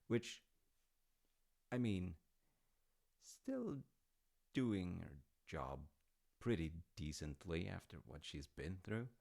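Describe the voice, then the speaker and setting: A man acts out an easygoing middle-aged dad in an intimate audio roleplay. His voice is very deep, with a heavy gravelly creak. He takes his time, speaking slowly and wandering into wry, hesitant asides as he tells his tale. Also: darkish tone, full character voice. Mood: wry, playful. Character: easygoing dad telling his grown child Norse myths. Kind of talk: intimate conversational storytelling roleplay.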